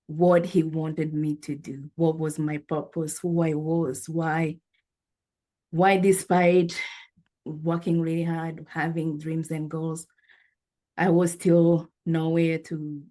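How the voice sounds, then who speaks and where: Low, mellow-voiced woman from Rwanda. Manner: earnest, reflective, pausing with fillers. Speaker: guest. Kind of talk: personal storytelling interview.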